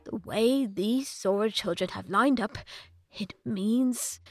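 Woman playing an excitable, curious old professor. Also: medium pitch, takes it slowly, no room sound. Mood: excited. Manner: eager, theatrical, inquisitive.

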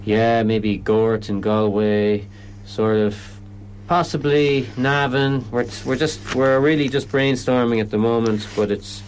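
A man's voice: medium pitch, steady tone, a little slow, slight background noise, rough recording. An Irish man explaining his comedy event in a radio interview, often stopping and restarting sentences. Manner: hesitant, lots of restarts.

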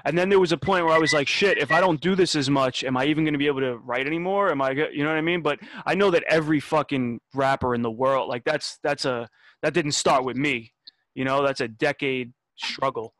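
A man's voice: on the high side, fairly quick, a bit bright, dry recording, rough recording. A man in his twenties talking casually on a podcast, laid-back, swearing and restarting his sentences. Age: 20s. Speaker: podcast host.

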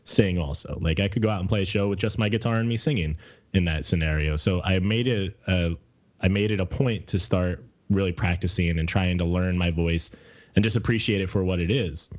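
A middle-aged man with a deep voice talking candidly and casually as a podcast co-host, reflecting as he searches for his words. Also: a little fast, a little mellow, dull audio. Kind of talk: casual personal conversation.

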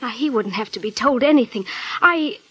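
A devoted sweetheart with a high voice. She is earnest, anxious and persistent, in the manner of old radio melodrama. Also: lively intonation, a little fast, fairly bright tone, slightly rough. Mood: pleading, persuasive. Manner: pleading, earnest. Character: anxious, devoted, persistent woman pleading with her lover. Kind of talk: 1940s radio acting.

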